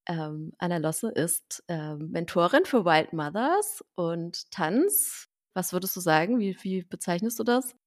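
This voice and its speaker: A woman hosting a casual chat podcast, lively, laughing often and using many fillers. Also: on the low side, a little slow, few pauses. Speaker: podcast host.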